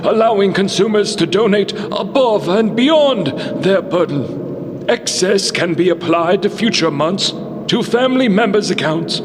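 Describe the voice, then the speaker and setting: In a long hall reverb with faint music, a slick vampire philanthropist. His voice is high for a man and slow. The delivery is polished and corporate, like an official audio drama speech. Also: expressive, slight background noise. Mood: smooth, promotional. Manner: polished, formal, corporate announcement.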